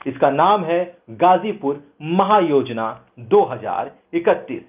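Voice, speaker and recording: A man's voice: a little high, animated, slow pace. A man hosting a news show, delivering scripted commentary in an emphatic, rhetorical way. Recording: narrow phone-like band, thin sound.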